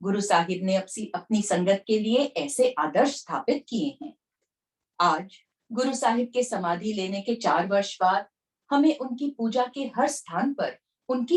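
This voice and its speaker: A woman reading aloud from a devotional book in an expressive narrating manner. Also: mid-range voice.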